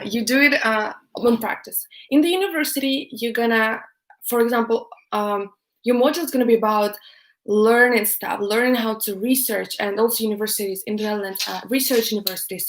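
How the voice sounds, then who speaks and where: A woman's voice: fairly high pitch, background noise. A young woman in her twenties with a Russian accent, chatting casually on a livestream and answering viewer questions with many fillers and restarts.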